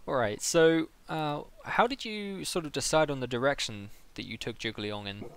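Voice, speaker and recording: A man's voice: medium pitch, normal speed, a bit bright, smooth. A man with a British accent working as an interviewer in a casual, chatty style. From Britain.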